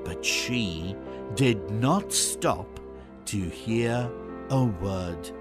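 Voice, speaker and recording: A storyteller narrating a children's fairy tale from a script in short, deliberate phrases. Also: medium pitch, animated. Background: music.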